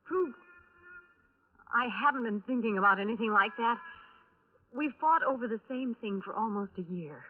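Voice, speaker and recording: An actress playing an uneasy young widow under questioning in a radio drama, defensive and halting as she answers. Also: on the high side, lively intonation. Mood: anxious. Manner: halting, hesitant denials and admissions. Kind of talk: 1950s radio drama acting. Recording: tinny band-limited old radio recording.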